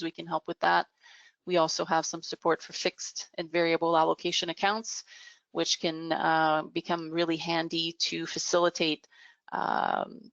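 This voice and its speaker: A woman with a fairly low voice and plenty of vocal fry walks through a business software demo. She explains in measured phrases, pausing hesitantly as she goes. The recording is somewhat dull and lo-fi. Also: no echo. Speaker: software product demonstrator. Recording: dull, muffled lo-fi audio.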